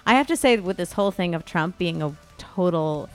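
A woman co-hosting a podcast, chatting casually and comedically in an animated, expressive way. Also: on the low side, fairly quick. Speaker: podcast co-host.

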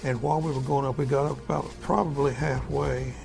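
An elderly American war veteran recounting his memories in a folksy, halting, repetitive way. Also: mid-range voice, fairly even intonation, vocal fry, music in the background. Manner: folksy, halting, repeats himself. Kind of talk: spoken first-person war reminiscence.

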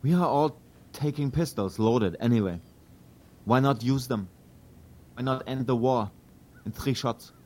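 A man's voice: mid-range voice, unhurried, long pauses, a little mellow, natural voice. A man playing a big, earnest Prussian immigrant plotter with a German accent in a historical drama. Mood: resolute. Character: big Prussian immigrant conspirator, resolute yet uneasy. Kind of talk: historical audio drama acting.

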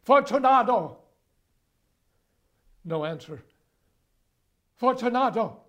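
An actor playing a vengeful, coldly gloating murderer in a dramatic reading of a gothic horror story, with deliberate, theatrical, formal delivery. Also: male voice, very high-pitched, lively intonation, full character voice. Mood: mocking, loud. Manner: deliberate, theatrical, formal narration with loud cries.

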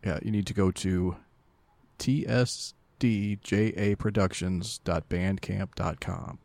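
A man's voice: low-pitched, steady tone, slow, creaky, darkish tone, dry recording. A man co-hosting a podcast with casual, wry, joking banter. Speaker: podcast co-host.

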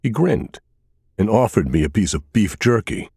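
A man reading a scripted first-person thriller as an audiobook narrator, with a measured, dramatic delivery. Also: on the low side, very animated, gravelly, warm tone, slightly rough, no room sound, studio quality.

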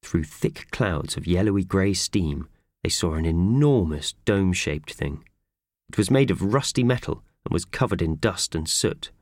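Male storyteller, low pitch, very animated, children's audiobook narration, dry sound. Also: moderate pace, dry recording. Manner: expressive storytelling. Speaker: audiobook reader.

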